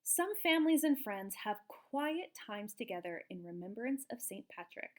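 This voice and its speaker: A woman reading a picture book aloud to young children in an expressive, explanatory way, like a teacher. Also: medium pitch, moderate pace. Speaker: children's storybook reader, teacher.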